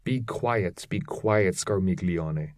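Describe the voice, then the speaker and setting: A man voicing an arrogant demon chief in an epic poem reading, giving orders with deliberate authority. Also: low-pitched, darkish tone, dry recording, studio quality. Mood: curt, authoritative. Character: arrogant male demon chief ordering his band of devils.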